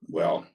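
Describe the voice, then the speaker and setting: A hoarse man with a sore throat hosting a podcast, talking through a casual faith testimony in an earnest, halting way with many filler pauses. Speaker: podcast host.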